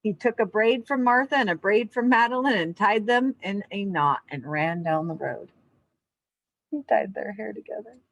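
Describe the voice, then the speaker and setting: A woman speaking as an elementary teacher to young children, in simple, clear wording suited to a lesson or storybook read-aloud. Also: medium pitch, lively intonation, moderate pace, fairly bright tone, dry recording, highs cut off. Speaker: elementary physical education teacher.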